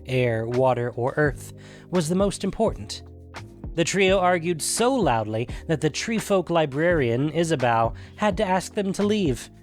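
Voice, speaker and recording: Expressive male storyteller with lively pitch. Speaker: narrator and podcast host. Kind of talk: scripted story narration.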